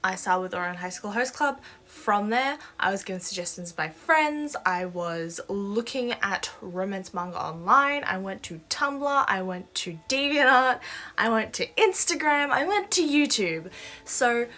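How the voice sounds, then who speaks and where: A woman's voice: medium pitch, lively intonation, a little slow, pushed and bright. A young Australian woman with an Australian accent, talking casually and enthusiastically to camera as a manga vlogger. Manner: chatty and enthusiastic, with asides and laughs. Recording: faint background music.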